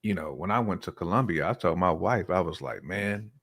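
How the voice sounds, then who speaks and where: A man's voice: low voice, animated, a little fast, a bit bright, breathy edge. A man from the United States talking casually on a discussion show, with informal slang and frequent checks for agreement. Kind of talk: casual chat.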